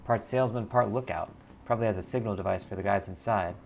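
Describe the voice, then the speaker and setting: A tough, vengeful woman mercenary from a cyberpunk game, read by a man. Her delivery is terse and conspiratorial, with a gravelly creak and music behind it. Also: on the low side, quick pace, darkish tone. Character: hard-edged, vengeful female street mercenary companion.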